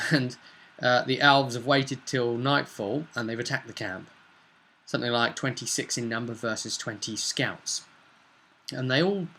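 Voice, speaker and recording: A man giving a casual, unscripted recap of a tabletop role-play session as game master, telling the story in a rambling, halting way. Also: mid-range voice, sharp tone, a little hiss or hum.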